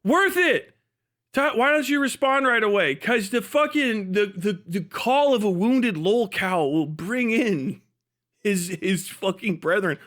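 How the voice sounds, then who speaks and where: A male streamer with a high, bright, forceful voice. He talks casually to his viewers in an animated, mocking way and peppers his rambling with profanity. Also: unhurried. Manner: animated, mocking, swears freely. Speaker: live streamer. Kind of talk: casual livestream chat with profane ranting.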